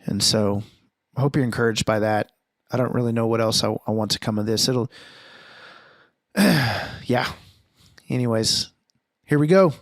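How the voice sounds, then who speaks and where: A man's voice: medium pitch, measured pace, pauses often, no echo. Podcast host, male, 40, solo reflective monologue, earnest and searching, close dry mic. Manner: earnest, thinking aloud with restarts and rhetorical questions.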